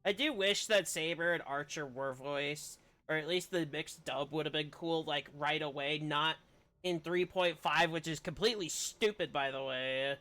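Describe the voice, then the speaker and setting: A man's voice: a little high, moderate pace, bright, forceful tone. A man giving casual live commentary as he streams a video game.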